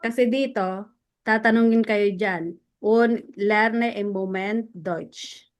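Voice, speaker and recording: A woman leads a language class, steady and unhurried. She sounds out each German phrase slowly and corrects mistakes gently. Her pitch sits in the middle of the range. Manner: patient, corrects students slowly. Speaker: German teacher. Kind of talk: online language lesson.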